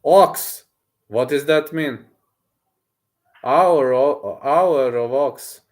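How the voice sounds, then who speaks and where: A man, a streamer chatting casually with his viewers, boastful and excitable, often repeating himself. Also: mid-range voice, extremely slow. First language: Serbian. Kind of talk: casual livestream chat, reading messages aloud.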